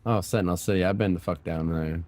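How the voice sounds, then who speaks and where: A man's voice: low voice, fast talker, darkish tone. A man giving casual, offhand, swear-heavy gameplay commentary as a streamer. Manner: profane, offhand. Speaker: gaming streamer.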